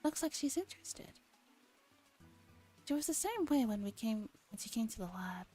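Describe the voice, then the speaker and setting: Soft, mellow woman reading aloud. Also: on the high side, sing-song, normal speed, soft background music. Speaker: streamer reading a visual novel aloud. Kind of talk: soft ASMR visual novel reading, voicing dialogue.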